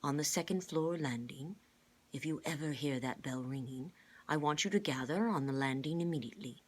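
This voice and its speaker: A woman reads as a kindly gentleman in charge in a storybook. The voice is low and husky, courteous, with a calm, deliberate manner. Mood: calm, serious.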